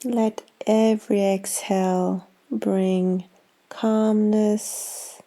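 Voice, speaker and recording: Very slow, bright-voiced woman guiding meditation. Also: medium pitch. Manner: calm, soothing, unhurried with long pauses. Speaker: yoga nidra guide. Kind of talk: guided meditation.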